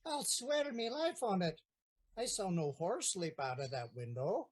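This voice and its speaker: A woman's voice: medium pitch, big pitch swings, measured pace, husky. A woman acting the part of a stubborn, half-drunk old male gardener in a comic period play. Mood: suspicious.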